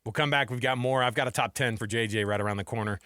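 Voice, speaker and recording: A man's voice: medium pitch, fast talker, sharp tone, smooth, dry recording. An American man co-hosting a sports radio show in a casual, breezy, colloquial way.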